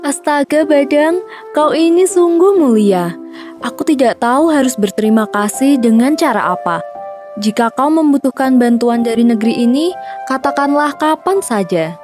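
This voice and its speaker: Gracious high-voiced princess. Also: female voice, animated, moderate pace, over music. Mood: grateful. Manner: formal, gracious. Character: gracious, worried young princess ruling her land. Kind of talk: children's folktale audio drama.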